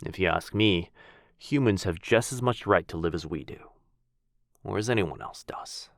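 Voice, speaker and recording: Man doing a vampire lord, gentle, courtly, low voice, dry close sound, ASMR roleplay. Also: moderate pace, creaky, dry recording. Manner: soft-spoken, courtly and reassuring. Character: gentle, kind male vampire lord, centuries old. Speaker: voice actor.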